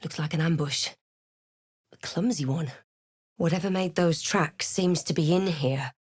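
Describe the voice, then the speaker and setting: A woman's voice: low voice, a little slow, husky. A woman playing a wary, dry-witted adventurer in a fantasy video game, making clipped, guarded remarks to herself. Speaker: player-character voice actor.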